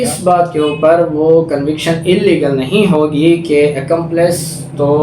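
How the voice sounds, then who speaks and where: A man's voice: a little high, darkish tone. A roomy, slightly distant recording with faint noise carries a man with a Pakistani accent. He lectures on law in a didactic manner, going back over each point in other words. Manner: didactic, repeats and rephrases points. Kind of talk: explanatory law lecture, reading statutes aloud then explaining.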